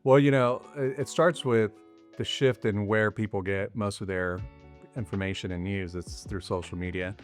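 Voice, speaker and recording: A middle-aged man chatting as a guest, explaining things in a relaxed way with many casual fillers. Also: lowish voice, moderate pace, faint music behind. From raised partly in Costa Rica. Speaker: mortgage loan officer, guest. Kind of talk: casual interview chat.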